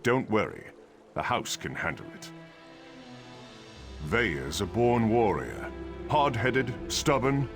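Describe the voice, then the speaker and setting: An actor playing a brusque, dutiful dark elf guard captain in a video game, curt, condescending and emphatic. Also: male voice, low voice, gravelly, heavily acted. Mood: wry, guarded. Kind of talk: video game dialogue acting.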